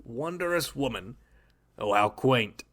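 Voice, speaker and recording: A cold, gloating villain scientist who commands an android army, acted by a man. He speaks softly and slowly, savoring every threat in a smug, menacing drawl at an ordinary middle pitch. Audio drama. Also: animated. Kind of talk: amateur audio drama acting.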